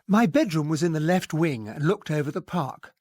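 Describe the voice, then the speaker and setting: An actor playing a gentlemanly English army captain, narrating his memoir in a radio drama. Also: a little high, animated, moderate pace, natural voice. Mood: wistful. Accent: English, well-spoken. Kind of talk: scripted first-person radio drama narration.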